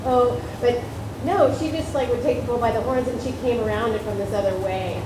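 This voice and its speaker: A middle-aged actress telling stories on a convention panel in a chatty, laughing way. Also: female voice, a little high, fairly quick. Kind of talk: anecdotal panel talk. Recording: echoey hall with noisy house audio.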